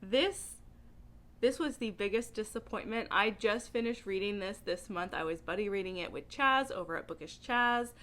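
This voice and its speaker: A woman talking casually to camera as a book vlogger, with an animated, emphatic way of speaking. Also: medium pitch, moderate pace.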